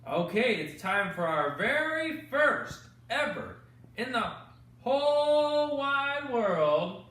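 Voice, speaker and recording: A man hosting bedtime Bible stories for children, teaching gently with exaggerated, kid-friendly questions and repeated phrases. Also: very high-pitched, very animated, slow, recorded from a distance. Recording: echoey room, off-mic, faint noise.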